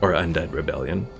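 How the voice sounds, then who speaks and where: A man's voice: very deep voice, vocal fry. A man doing casual live gameplay commentary, thinking aloud and explaining how things work. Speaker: video game streamer. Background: game music.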